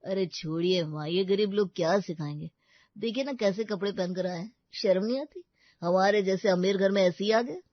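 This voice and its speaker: A woman's voice: medium pitch, moderate pace, dry recording, dull audio, close to an everyday voice. A woman voicing an arrogant, bullying husband in an audio story, curt, domineering and sneering. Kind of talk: Hindi audio story character acting.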